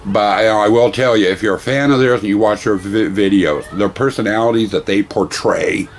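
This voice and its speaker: A middle-aged man vlogging casually about a weekend convention. He has a hoarse voice from losing it, and he rambles warmly, full of thanks. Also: fairly low pitch, brisk, slight background noise. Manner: rambling, effusive, grateful. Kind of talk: casual vlog talk.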